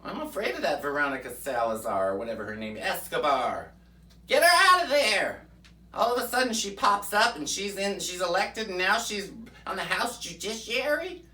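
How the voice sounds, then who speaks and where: A woman's voice: fairly low pitch, very animated, sharp tone, echoey room, distant mic. Woman playing a fiery folksy pundit lady. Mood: fired-up ranting. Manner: fiery, preachy, with drawn-out "mm-hmm" asides. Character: brash folksy right-wing woman pundit persona. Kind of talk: comic political rant in character.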